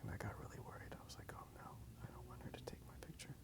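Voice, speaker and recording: A man whispering softly in a breathy, gravelly voice, rambling casually and a little hesitantly in an ASMR style. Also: rapid-fire. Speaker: ASMR video creator. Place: outdoors in a park.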